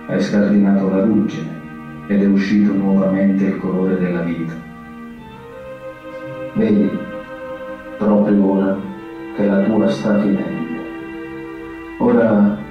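Solemn priest, deep in echo. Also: male voice. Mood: compassionate. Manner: grave, preaching, poetic phrasing. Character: solemn, compassionate male priest hearing a penitent. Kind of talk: Italian radio theatre monologue. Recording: dull echoey distant sound, music behind.

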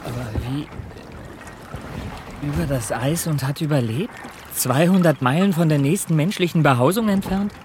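A man's voice: on the high side, a little mellow, close to an everyday voice. Grave ship's captain, played by a man, noisy sea ambience. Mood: stunned. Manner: solemn, literary, measured delivery. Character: grave, questioning male captain of an Arctic expedition ship. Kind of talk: German radio drama acting and narration.

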